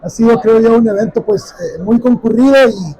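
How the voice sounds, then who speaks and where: High-pitched man interviewing at an expo. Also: fairly quick, dark tone, husky, rough recording. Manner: friendly, fillers and restarts. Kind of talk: trade-fair interview. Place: busy expo hall.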